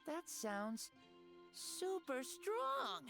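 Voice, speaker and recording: A woman voicing a curious, wide-eyed little child in a game, soft and wondering. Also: very high-pitched, wildly swooping pitch, very slow, gravelly, exaggerated voice. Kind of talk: game voice acting. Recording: game audio under faint music.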